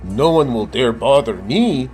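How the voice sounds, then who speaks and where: A man's voice: medium pitch, animated, a little slow, darkish tone, husky, faint music behind, voice pushed up. A man voicing a smug crook in a vampire costume, theatrical and pleased with himself, for a storybook. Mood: cozy, smug. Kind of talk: read-aloud storybook voice.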